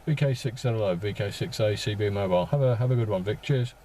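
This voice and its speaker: A man's voice: on the low side, fast, mellow. A man making casual, polite, slightly hesitant conversation as a radio operator in a vehicle. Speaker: mobile amateur radio operator. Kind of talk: casual two-way radio chat. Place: inside a parked four-wheel drive. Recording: faint radio static in a car.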